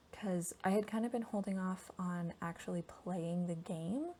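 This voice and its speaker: A woman doing a casual, soft-spoken ASMR voiceover, hushed, gentle and informal, breathy at times. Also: lowish voice. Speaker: host of a board game ASMR channel. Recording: steady background noise from a laptop. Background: laptop fan noise.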